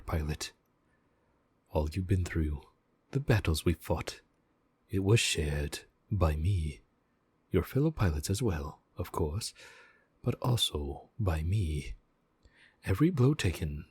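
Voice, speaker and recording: A man voicing a gentle, devoted sentient mech AI in a slow, measured audio roleplay, speaking directly to the pilot. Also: low-pitched, a little hiss or hum. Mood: tender, confessional, then earnest, confiding. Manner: measured, deliberate, reassuring, with pauses. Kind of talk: slow scripted audio roleplay, addressing the listener.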